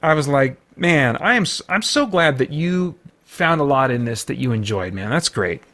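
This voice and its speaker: A man's voice: medium pitch, animated, normal speed, fairly bright tone. A middle-aged man giving a casual, opinionated film review, rambling informally as he thinks aloud. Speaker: reviewer and show host.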